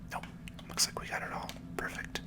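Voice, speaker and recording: Whispered ASMR gaming commentary. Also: brisk. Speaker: video game playthrough host.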